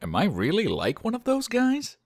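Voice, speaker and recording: A man playing a sensible, cautious young friend in an audio drama, reasoning in an expressive way. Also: high voice, normal speed, gravelly, dry recording, clean studio sound, heavily acted. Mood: startled, questioning.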